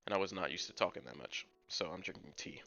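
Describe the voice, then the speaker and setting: A man's voice: sits low, animated, fast talker, sharp tone. A young man streaming live from home, giving upbeat chart commentary to chat viewers while losing his voice from a sore throat. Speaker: crypto trading streamer. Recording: dull lo-fi sound with faint music. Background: faint background music.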